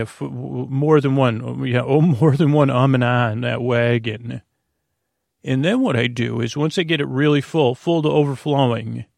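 An American man with a creaky voice, hosting a bedtime podcast in a lulling, rambling, hesitant way, full of filler words and tangents. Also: mid-range voice, dry recording, clean studio sound. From United States. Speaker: podcast host.

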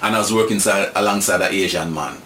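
A man's voice: low voice, quick pace, sharp tone, a little hiss or hum. A man in his sixties from Jamaica with a Jamaican accent, telling stories thoughtfully and repeating words as he searches for them. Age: 60s. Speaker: guest. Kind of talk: reflective storytelling. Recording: echoey room with faint ambience.

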